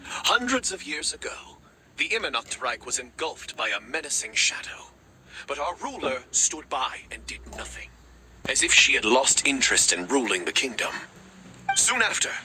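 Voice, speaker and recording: A man's voice: mid-range voice, bright, forceful tone, full character voice, rough recording. A man voicing a devoted, reckless raven warrior in a video game, speaking with grand, earnest drama. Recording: background music under the voice.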